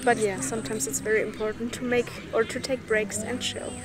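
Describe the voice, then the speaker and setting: A woman's voice: fairly high pitch, level tone, fairly quick. German-accented woman vlogging over music. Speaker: vlogger, street photographer. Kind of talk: casual vlog talk and narration.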